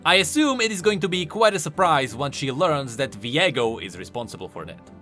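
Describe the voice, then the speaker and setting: A man's voice: on the high side, very animated, fairly quick, pushed and bright. A man giving emphatic, enthusiastic explanatory commentary on video game lore as a voice-over. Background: faint music.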